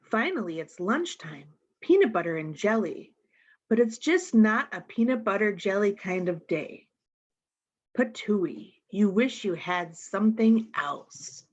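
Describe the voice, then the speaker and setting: Woman reading to children, slightly muffled recording. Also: fairly low pitch. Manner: expressive, explains pictures to young listeners. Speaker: storytime reader. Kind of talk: reading a picture book aloud to children.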